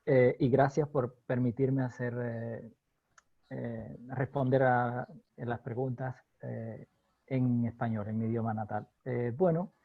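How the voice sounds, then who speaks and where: A middle-aged Cuban man with a medium-pitched voice, heard over muffled, dull video call audio. He answers in a measured, academic way and fills his pauses with "eh". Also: fairly even intonation, no echo. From eastern Cuba. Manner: thoughtful, with frequent "eh" pauses. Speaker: law professor, interview guest.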